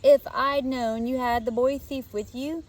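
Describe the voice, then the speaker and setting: Woman as a squeaky, prim little girl. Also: fairly even intonation, unhurried, distant mic. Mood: smug. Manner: squeaky, breathless, scolding.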